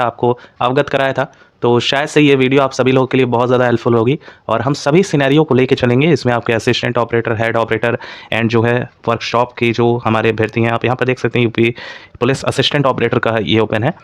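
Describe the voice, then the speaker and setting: A man's voice: mid-range voice, dry recording. A man presenting an informal exam analysis to online viewers, mixing in English terms and leaning on repeated filler phrases. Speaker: exam-prep channel presenter.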